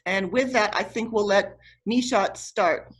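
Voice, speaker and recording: A woman's voice: mid-range voice, measured pace, slightly rough. A middle-aged woman speaking as an academic committee chair, giving a semi-formal introduction over a video call. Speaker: committee chair and PhD advisor.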